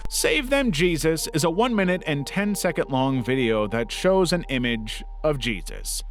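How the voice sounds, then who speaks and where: A man's voice: on the high side, lively intonation, normal speed, faint music behind. A man narrating a scripted documentary-style video essay as its narrator.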